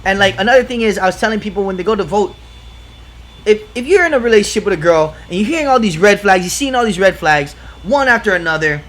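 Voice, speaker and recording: A man from Hawaii talking in a casual podcast chat, excitable and profane, rambling from one point to the next. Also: high-pitched. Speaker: podcast guest.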